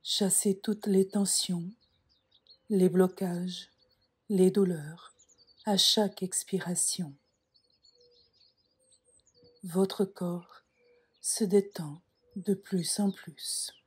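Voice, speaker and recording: A woman guiding a yoga nidra relaxation in a calm, soft, breathy voice, giving measured instructions with frequent pauses. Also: on the low side. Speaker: yoga nidra meditation guide. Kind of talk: slow guided relaxation meditation.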